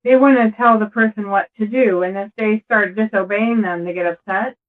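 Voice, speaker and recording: High-pitched male interviewer, thin phone-like sound. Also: fairly even intonation, moderate pace. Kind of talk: casual interview, brief questions and reactions.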